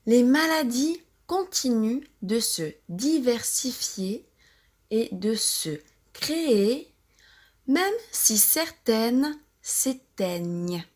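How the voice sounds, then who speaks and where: A woman with a French accent narrates a novel in a fairly high voice. She reads extremely slowly, phrase by phrase, with careful and deliberate enunciation suited to learners practising pronunciation. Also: expressive. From France. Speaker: audiobook-style reader for language learners.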